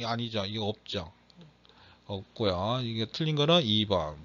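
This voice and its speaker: A man's voice: fairly high pitch, a bit bright. A man lecturing for an exam, reading out questions and explaining answers, stressing and repeating key phrases in a slightly strained voice. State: slightly strained voice from throat trouble. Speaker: exam lecturer teaching real estate broker law. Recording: dull, muffled lo-fi audio.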